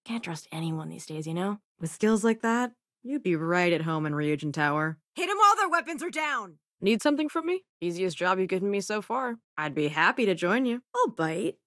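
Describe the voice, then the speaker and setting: A woman's voice: mid-range voice, sing-song, a little fast, hardly any pauses, a bit bright, dry recording, clean studio sound. A woman voicing a sardonic, self-assured young female crewmate and researcher in a video game, with a casual, wry delivery. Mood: combat urgency.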